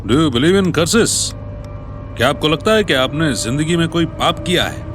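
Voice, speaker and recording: A man's voice: a little high, animated, a little slow, a bit bright. An actor playing a menacing, hot-tempered gunman in an audio drama, with an agitated, threatening delivery. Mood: taunting. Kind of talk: audio drama villain acting. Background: music.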